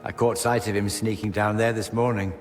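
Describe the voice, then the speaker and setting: Helpful game townsman, male actor: affable and amused, lowish voice, reverberant hall. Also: little pitch movement, fairly quick, close to an everyday voice. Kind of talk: video game cutscene acting. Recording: reverberant hall, music behind.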